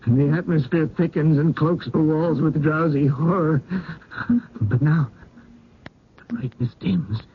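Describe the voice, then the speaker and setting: A man playing a delirious, haunted husband in an old-time radio drama, speaking in halting, trance-like broken phrases. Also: fairly high pitch, slight background noise. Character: delirious, haunted husband, dazed and dread-filled. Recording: muffled old radio recording with music.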